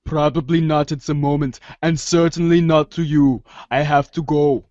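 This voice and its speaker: A man's voice: a little high, steady tone, normal speed, darkish tone, no echo, natural voice. A man playing a formal, aloof young know-it-all who over-explains, in amateur reader's theater. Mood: clipped, aloof. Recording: dry, dull lo-fi recording.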